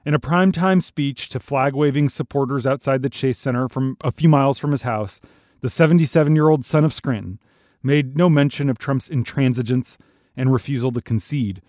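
A man's voice: mid-range voice, a little fast, darkish tone, no room sound. American man reading news, close and dry. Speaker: news podcast host. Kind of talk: scripted news narration.

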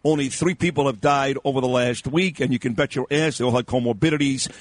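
A man's voice: mid-range voice, fairly even intonation, dry recording. A male radio host chatting brashly and joking, mixing news talk and sports updates. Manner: brash, joking, rapid asides.

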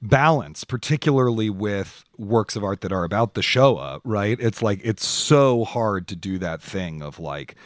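A man hosting an interview podcast, chatting informally and thinking his questions out loud. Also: lowish voice, animated, measured pace, hardly any pauses, no echo, studio quality. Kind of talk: casual interview chat.

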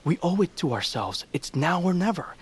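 A man's voice: on the high side, big pitch swings, moderate pace, slightly rough. A man acting in an audio drama as a driven doctor, urgent and persuasive. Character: driven, urgent male doctor set on a risky plan. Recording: faint ambient noise. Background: faint ambience.

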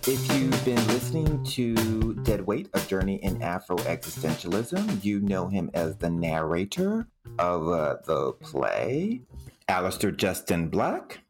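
A woman's voice: rumbling low, big pitch swings, a little slow, over music. A woman co-hosting a podcast, chatting casually and introducing guests. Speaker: podcast co-host.

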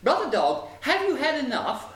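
A man's voice: extremely high pitch, huge pitch swings, normal speed, fairly bright tone, pitched above the performer's own voice, full character voice. A man voicing a small, feisty male sparrow in an insistent, exclamatory piping voice for a storybook tale. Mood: eager, helpful. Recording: roomy, distant mic, faint noise.

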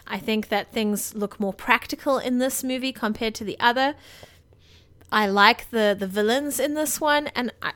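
A woman with a fairly high voice co-hosts a relaxed film chat. She is playful and informal, and gives her opinions freely as she talks. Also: moderate pace. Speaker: podcast co-host. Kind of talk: casual film review chat.

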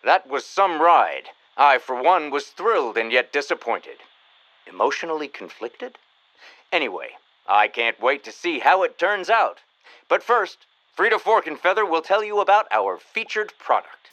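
An actor playing an excitable male radio host, narrating melodramatically in an old-time style. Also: fairly high pitch, moderate pace, poor audio. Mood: theatrical, eager. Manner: melodramatic, exclamatory.

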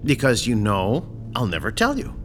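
An actor playing a calm, controlling male crime boss in an audio horror drama, polite and precise with quiet menace. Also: medium pitch, music in the background. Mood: cool, commanding. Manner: polite, precise, coolly deliberate.

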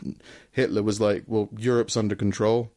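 A man's voice: fairly low pitch, flat delivery, normal speed, mellow. A man with an English accent in casual banter as a co-host, joking, giggly and prone to swearing. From England. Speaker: co-host of a chat show.

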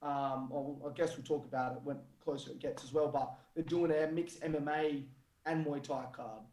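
Male fight coach, hoarse and croaky, stop-start casual delivery, fairly high voice, echoey recording. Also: fairly even intonation, a little mellow. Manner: halting, lots of fillers and restarts. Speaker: fight gym trainer. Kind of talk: casual podcast chat. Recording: roomy echo.

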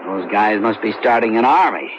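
An actor playing a determined small-town sheriff who narrates his own case in steady, terse first person. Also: lowish voice, normal speed, a little hiss or hum, lightly acted. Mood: commanding. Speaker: narrator and lead character. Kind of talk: 1970s radio drama acting. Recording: dull lo-fi old radio broadcast.

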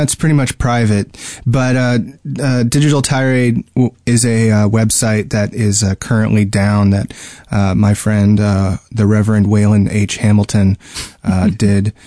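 A man's voice: lowish voice, little pitch movement, takes it slowly, mellow, no echo, clean studio sound. A man co-hosting a gaming podcast in casual chat, talking hesitantly and often repeating words mid-sentence. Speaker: podcast co-host.

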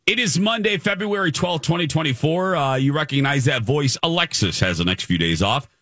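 A man's voice: fairly high pitch, lively intonation, a little fast, a bit bright, no echo. An American man hosting a morning radio show with casual, playful, chatty banter.

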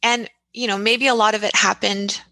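A woman in her forties with a bright, forceful voice recounts childhood memories with lively, reflective energy. Her sound is close and dry, with no room around it. She speaks in the easy, open way of a conversational interview guest. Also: mid-range voice, moderate pace, no echo, rough recording. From United States (grew up in Texas). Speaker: podcast host, guest. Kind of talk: casual interview storytelling.

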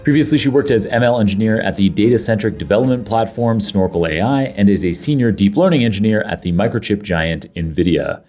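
Effusive male podcast host, low voice. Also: expressive, fairly quick, hardly any pauses, mellow, faint music behind. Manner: enthusiastic and effusive. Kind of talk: scripted intro then casual interview chat. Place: home studio, guest on a remote call.

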